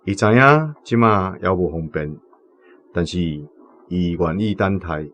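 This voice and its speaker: A man playing a measured village uncle with a Taiwanese accent, reading aloud in a radio drama. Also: low-pitched, lively intonation, a bit bright, a little hiss or hum. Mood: measured, tactful.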